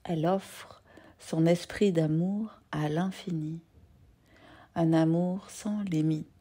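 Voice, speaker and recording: A woman yoga nidra teacher leading a guided meditation in a gentle, soothing, soft-spoken manner with long pauses. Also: on the low side, steady tone.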